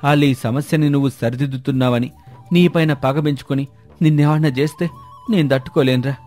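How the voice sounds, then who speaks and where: A man's voice: medium pitch, steady tone, fast talker, a little mellow, faint music behind, close to an everyday voice. A man playing a cautious village friend in a moral story, earnest and worried as he speaks. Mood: worried, cautioning. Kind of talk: dramatised moral story dialogue.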